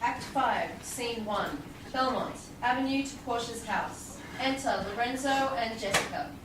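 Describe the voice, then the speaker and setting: A woman's voice: on the high side, animated, measured pace. A woman reading out scripted stage directions for a play. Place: small theatre, far audience camera. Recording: very echoey stage, distant, loud noise.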